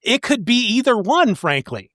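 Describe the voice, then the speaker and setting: High-voiced male podcast co-host, casual and wry. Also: sing-song, normal speed, fairly bright tone, dry recording, clean studio sound. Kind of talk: casual comic book discussion.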